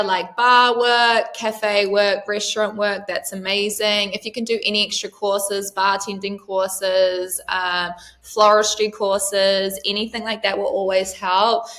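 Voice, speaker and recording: A woman's voice: medium pitch, a bit bright. A woman in her thirties from New Zealand, chatting casually as an interview guest about her yacht crew work, with lots of "um" and "like". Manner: chatty, frequent "um" and "like".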